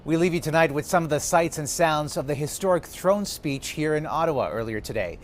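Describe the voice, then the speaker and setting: A man's voice: fairly high pitch, animated, brisk, a little hiss or hum. A man anchoring a national television newscast with scripted broadcast delivery. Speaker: TV news anchor. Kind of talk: scripted broadcast news presenting.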